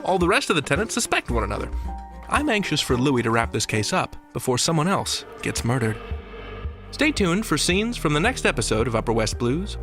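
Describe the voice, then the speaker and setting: Animated male podcast host over music. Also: a little high. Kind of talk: scripted hosting narration.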